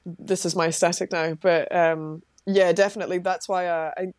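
A woman's voice: lowish voice, fairly even intonation, moderate pace, a little mellow, no room sound, clean studio sound. A woman being interviewed as a guest, talking casually in a rambling way, full of fillers and restarts. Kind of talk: casual chat.

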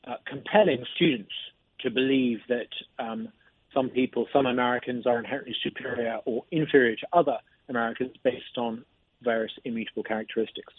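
A man being interviewed as a lawmaker, speaking in a measured way and often repeating words as he begins a sentence, heard over a phone line. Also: medium pitch, fairly even intonation, husky. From not from Mississippi. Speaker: interview guest, state lawmaker. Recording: tinny phone line, dry.

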